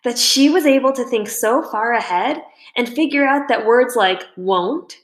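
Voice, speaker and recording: A woman reading a children's book aloud as a storyteller, in expressive scripted narration. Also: on the high side, moderate pace. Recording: roomy indoor echo.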